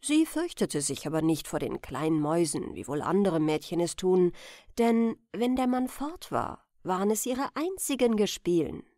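A woman narrating a bedtime fairy tale, telling it gently and expressively as a storyteller. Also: fairly low pitch, very animated, moderate pace, dry recording, clean studio sound. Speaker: storyteller, channel host.

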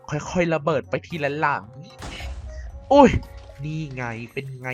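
A woman's voice: low voice, sing-song, measured pace, a little mellow, soft background music. A woman voicing a mischievous ancient vampire queen in a Minecraft cartoon, playfully scheming and muttering to herself. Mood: gleeful.

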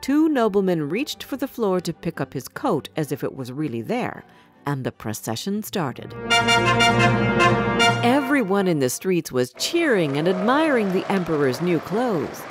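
Expressive, lowish-voiced woman storyteller. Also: over loud music. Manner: expressive, measured. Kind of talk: scripted fairy tale narration for children.

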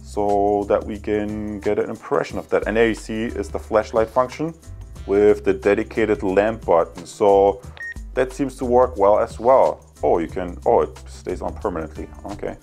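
A man's voice: on the low side, normal speed, darkish tone, soft background music. A man casually reviewing and unboxing a gadget indoors, chatty and full of fillers. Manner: chatty, addresses viewers as guys, many fillers. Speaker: tech reviewer. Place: indoor room with wooden table.

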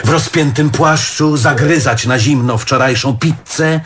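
A man's voice: medium pitch, a little slow, fairly bright tone, slight background noise, lightly acted. An actor playing a jealous, wounded, fussy man in a stage drama, nagging and accusing in a repetitive way. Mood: bitter. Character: jealous, wounded man leaving his lover, brooding and fussy. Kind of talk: theatrical stage dialogue.

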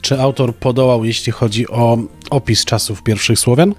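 A young man speaks as a podcast host recommending books. His voice sits at an average pitch, and he delivers prepared, scripted presentation. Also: fairly even intonation, normal speed, slightly rough, soft background music.

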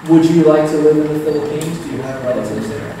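A man's voice: a little high, quick pace, mellow, slight background noise. Friendly man interviewing in an echoey room. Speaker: interviewer and channel host. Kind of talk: casual interview questions. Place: small room with a window, indoors. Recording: echoey room, heard from a distance.

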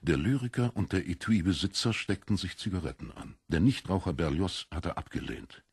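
A man narrating a literary audiobook in an expressive, scripted reading style. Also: low-pitched, moderate pace, husky, no room sound, studio quality.

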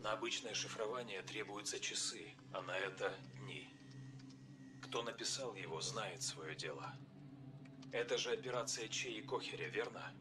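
An actor playing a cocky, focused young hacker in a game audio drama, self-assured and clipped. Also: male voice, on the low side, normal speed, noisy background, natural voice.

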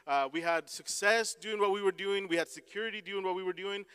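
A man's voice: sits high, brisk, fairly bright tone, smooth. A man in his thirties from Mexico with a Mexican Spanish accent, speaking earnestly as a missionary from a church stage, often restarting his words. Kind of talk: church pulpit talk. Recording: long hall reverb.

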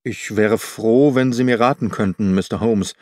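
A man reading as a slow, stolid village constable. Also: on the low side, a little mellow, dry recording, clean studio sound, lightly acted. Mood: worried, deferential. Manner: slow, heavy, plain-spoken.

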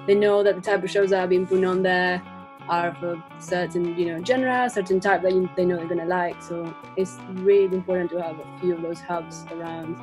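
A woman's voice: lowish voice, fairly even intonation, brisk, darkish tone, soft background music. A young woman chatting casually as a musician being interviewed over a video call from a room at home. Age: young adult. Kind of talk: casual chat.

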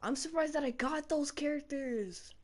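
A man giving casual, excitable commentary while playing a fighting game, shouting in fights and mumbling between rounds. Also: very high voice, moderate pace. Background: loud game music and sound effects.

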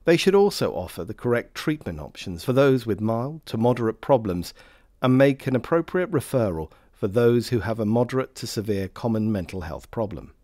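A man reading scripted instructional narration for a health training film in a formal, measured way. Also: mid-range voice, big pitch swings, a little mellow, no echo, clean studio sound.

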